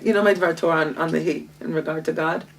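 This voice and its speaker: A woman, a Hebrew speaker, teaching a Torah lesson with a lively, self-mocking manner and frequent laughter. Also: sits low, quick pace, creaky. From Israel. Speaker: guest teacher. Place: home library. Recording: distant mic.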